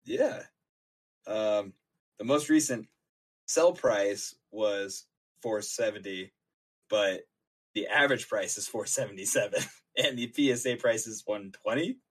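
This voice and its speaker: A man's voice: fairly low pitch, expressive, a little slow, breathy edge. A man co-hosting a casual podcast, joking and reading out details with lots of fillers. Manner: jokey, reads out stats with many fillers. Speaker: podcast co-host and intern.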